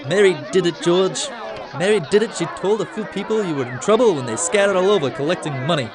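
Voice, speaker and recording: A man playing a flushed, excited uncle bursting with good news in a radio drama. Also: high-pitched, fast talker, over music, lightly acted. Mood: excited, jubilant. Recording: busy crowd noise behind. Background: crowd bustle.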